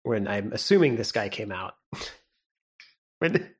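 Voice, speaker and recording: A young man co-hosting a podcast in casual chat, relaxed and rambling, laughing often. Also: fairly low pitch, a little slow, a little hiss or hum. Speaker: podcast co-host.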